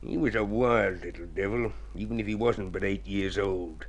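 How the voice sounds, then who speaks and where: An actor playing a crooked, scheming consul in a golden age radio drama, theatrical, wheedling and pleased with himself. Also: male voice, fairly low pitch, normal speed, natural voice. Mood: sly, persuasive. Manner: wheedling, theatrical, self-satisfied, chuckling schemer. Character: scheming, crooked male consul, smooth-talking and gleeful.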